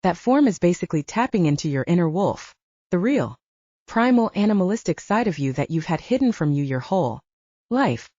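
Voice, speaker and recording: A woman's voice: fairly low pitch, big pitch swings, brisk, mellow, no room sound, highs cut off. A woman voicing a young blonde werewolf woman, protective and reassuring, with a soothing but firm manner, in an audiobook. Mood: calm, explaining.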